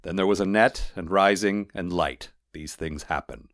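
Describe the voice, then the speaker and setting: A man voicing an ancient male clay golem messenger, weary and fatalistic, speaking in a solemn, formal, rumbling way. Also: a bit bright, dry recording, clean studio sound. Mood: solemn, resigned. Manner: blank, solemn, formal, unhurried.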